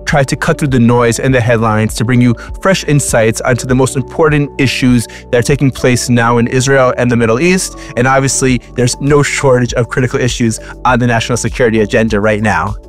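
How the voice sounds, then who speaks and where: A man hosts a podcast in a measured, announcer-like way, introducing his guest in a steady mid-range voice. Soft music plays faintly behind him. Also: medium pitch. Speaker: podcast host. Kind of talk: scripted intro and interviewing.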